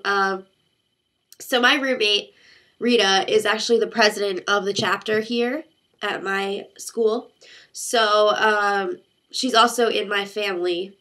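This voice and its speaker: Young woman vlogger with a congested cold, crisp bright voice, chatty and rambling. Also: medium pitch. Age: young adult. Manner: chatty, rambling storytelling with many fillers. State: congested, sick voice. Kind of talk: casual chat to camera.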